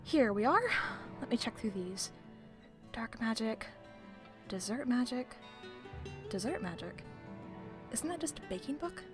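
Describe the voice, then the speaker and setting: A woman's voice: a little high, big pitch swings, measured pace, pauses often, music in the background. A woman playing a sly, self-assured librarian with arch, playful, theatrical phrasing in an audio roleplay. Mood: knowing, businesslike.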